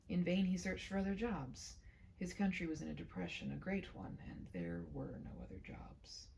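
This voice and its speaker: A woman's voice: on the low side, vocal fry, lo-fi sound. Whispery woman reading a story. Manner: hushed, often dropping into whispers; slow, deliberate phrasing with dramatic pauses. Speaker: storyteller reading her own short story. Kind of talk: read-aloud of a literary short story, soft and ASMR-leaning.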